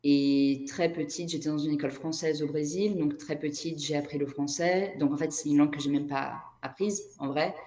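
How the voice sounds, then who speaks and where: Echoey, low-key Brazilian woman in conversation. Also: fairly even intonation, moderate pace, hardly any pauses, dark tone, highs cut off. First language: Portuguese. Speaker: interview guest, energy therapist and writer. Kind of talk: reflective conversational interview. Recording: echoey room.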